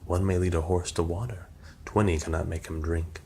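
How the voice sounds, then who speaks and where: A man's voice: sits low, steady tone, moderate pace, mellow. A man narrating a poem aloud as an ASMR reading. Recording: clear background noise.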